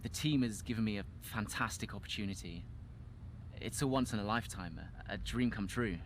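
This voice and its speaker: A man acting a modest young rookie racing driver in a game cutscene. He is eager and a little halting, with a slightly low voice and light background noise. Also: lightly acted. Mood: awed, modest. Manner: hesitant, halting interview answers.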